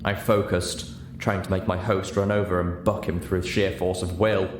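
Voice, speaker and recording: A man's voice: low-pitched, flat delivery, moderate pace. A man reading a first-person story aloud as scripted audiobook narration. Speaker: audiobook reader. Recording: roomy echo, clear background hiss.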